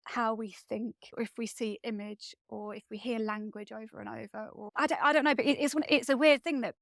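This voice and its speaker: A woman speaking as a guest author in a reflective interview, thoughtful and often pausing to search for her words. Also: on the high side, steady tone, vocal fry, fairly bright tone, no echo, clean studio sound.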